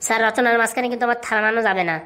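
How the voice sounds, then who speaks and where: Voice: mid-range voice, steady tone, racing, sharp tone, close to an everyday voice. A performer voicing a cocky, touchy overgrown schoolboy in a comic cartoon, boastful, with a rural Bengali dialect. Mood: proud reciting. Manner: boastful, rattling off answers.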